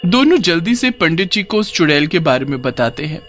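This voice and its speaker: A male storyteller delivers scripted, dramatic narration in a fairly high voice. His pitch moves widely from phrase to phrase, and music plays underneath. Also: sing-song, a little fast, fairly bright tone.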